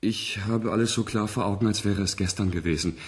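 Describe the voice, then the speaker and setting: Husky, entranced young test subject. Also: male voice, lowish voice. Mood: wondering, confused. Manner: halting, searching, wondering delivery. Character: young male test subject reliving an ancestor's memories in trance. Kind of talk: German radio play acting.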